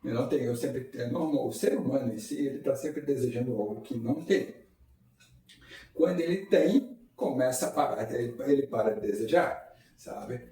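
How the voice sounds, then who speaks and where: A man giving a relaxed public talk at a spiritist center, chatting with the audience and tossing them rhetorical questions. His voice sits at an ordinary middle pitch. It is caught from a distance in a strongly echoing hall. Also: moderate pace. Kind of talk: informal public lecture. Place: lecture hall. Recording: echoey hall, mic far off.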